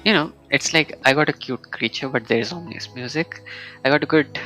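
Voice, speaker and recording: A man's voice: a little high, a little fast, sharp tone, poor audio. A man streaming a game live, reacting and musing aloud in casual commentary. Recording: game music behind the voice.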